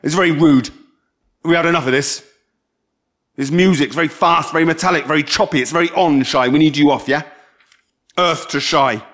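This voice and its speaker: A man's voice: sits low, moderate pace, fairly bright tone, pitched below the performer's own voice. A man voicing a weary, reproachful adult who scolds a troubled teenager in clipped, exasperated phrases in a dramatic reading.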